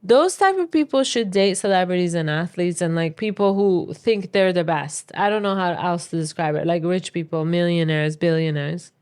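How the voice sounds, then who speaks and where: A woman's voice: fairly low pitch, normal speed, hardly any pauses, darkish tone, clean tone. A woman co-hosting a podcast in casual chatty talk, opinionated and emphatic as she gives advice. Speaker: podcast co-host.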